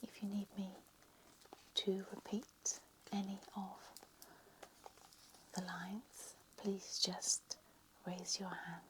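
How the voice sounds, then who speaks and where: A woman whispering a slow, soft ASMR lecture roleplay, her voice slightly croaky. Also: medium pitch, level tone, a little hiss or hum, low-quality recording.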